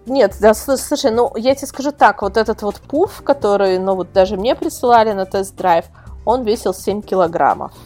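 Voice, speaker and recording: A woman's voice: mid-range voice, moderate pace, darkish tone, soft background music. A woman speaking as a guest reviewer in a casual podcast discussion, emphatic and repeating words for stress. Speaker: guest, product reviewer and website editor.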